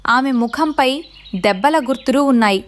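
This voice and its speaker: A woman's voice: high-pitched, fairly quick. A woman giving scripted narration as the storyteller of a tale.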